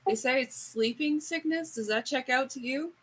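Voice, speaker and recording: A woman's voice: high-pitched, normal speed, a bit bright, slight background noise. A woman chatting casually as a player in a tabletop roleplaying game.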